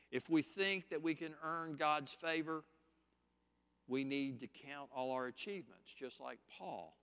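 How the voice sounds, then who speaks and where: A man preaching a sermon, teaching scripture point by point in a slow, deliberate, emphatic way. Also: medium pitch, fairly bright tone, clean tone, long reverb tail.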